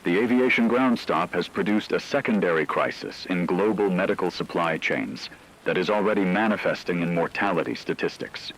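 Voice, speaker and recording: Deep, solemn radio newscaster played by a man. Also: a bit bright, low-quality recording. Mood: somber. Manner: solemn, measured, formal. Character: grave male shortwave radio newscaster reporting global catastrophe. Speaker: radio news anchor. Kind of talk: scripted emergency radio news bulletin.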